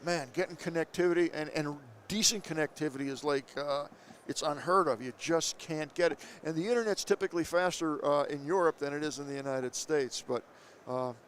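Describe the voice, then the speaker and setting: A man's voice: fairly high pitch, a bit bright, a little hiss or hum. Effusive male trade show host. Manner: enthusiastic, effusive, quick to interject. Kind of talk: live trade show interview. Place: busy trade show floor. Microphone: headset.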